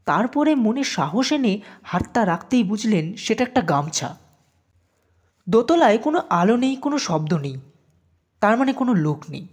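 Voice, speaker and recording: A woman reads a scripted story for an audio production. Her voice sits fairly high and moves in lively swings of pitch, giving the narration an expressive shape. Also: normal speed, long pauses, slightly rough.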